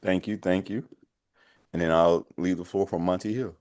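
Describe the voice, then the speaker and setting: A man's voice: low-pitched, fairly even intonation, moderate pace, darkish tone. A man moderating a webinar panel, introducing guests in an upbeat way and often repeating his thanks.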